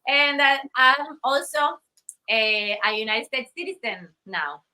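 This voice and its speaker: Bright-voiced Costa Rican woman, thoughtful. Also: sits high, lively intonation, a little slow. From Costa Rica. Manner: thoughtful, hesitant with many fillers and restarts. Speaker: guest artist. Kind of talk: interview conversation.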